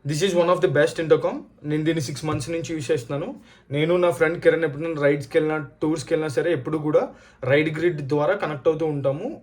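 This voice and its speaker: A man's voice: fairly high pitch, level tone, racing, darkish tone. A man from India with a Telugu accent, talking casually to viewers as a vlogger and often mixing in English phrases. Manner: code-switches often between Telugu and English. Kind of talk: casual product review and storytelling to viewers.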